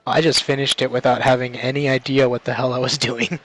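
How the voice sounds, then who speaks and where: A man's voice: mid-range voice, steady tone, a little fast, a bit bright, rough recording. A man commenting casually on the game he is playing, in a jokey, self-deprecating way.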